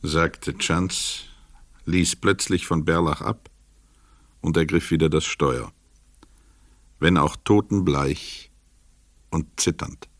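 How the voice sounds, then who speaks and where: Deep, dry-voiced Swiss radio narrator. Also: male voice, slow pace, pauses often, no room sound. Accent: Swiss German. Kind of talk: scripted radio play narration.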